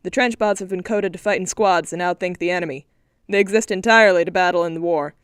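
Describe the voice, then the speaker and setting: A woman's voice: on the low side, fast talker, clear, resonant tone, dry recording, studio quality, close to an everyday voice. A woman voicing a wealthy middle-aged male industrialist, wry and businesslike.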